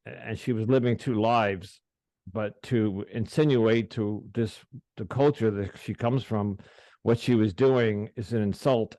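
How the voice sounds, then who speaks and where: A middle-aged man hosting a podcast, speaking haltingly with many restarts as he opens up about his past. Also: lowish voice, warm tone, no echo. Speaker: podcast host. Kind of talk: casual interview, personal disclosure.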